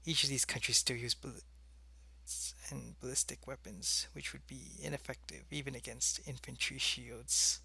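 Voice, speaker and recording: Man playing gravelly, earnest alien agent. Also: medium pitch, steady tone, pushed and bright, heavily acted. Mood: earnest, cautious. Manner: explanatory, measured, matter-of-fact. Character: earnest alien agent briefing his superior on humans. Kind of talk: scripted sci-fi audio roleplay.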